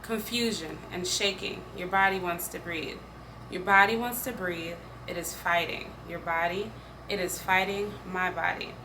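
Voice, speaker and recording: A woman's voice: fairly low pitch, steady tone, measured pace. A woman reading spoken-word poetry aloud in an earnest, emphatic way. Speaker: poetry reader. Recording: faint background noise.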